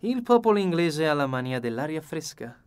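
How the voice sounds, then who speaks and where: A man's voice: fairly high pitch, big pitch swings, fairly quick, smooth, pitched above the performer's own voice. A man voicing a courteous, fussy older detective in an audiobook, effusive, precise and polite. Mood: welcoming. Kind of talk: audiobook character dialogue.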